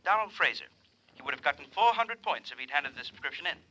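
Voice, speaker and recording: An actor playing a fussy, inquisitive magazine company manager in a 1950s radio crime drama. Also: male voice, a little high, animated, fast, bright, forceful tone, breathy edge, dull audio, heavily acted. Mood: fussy, puzzled.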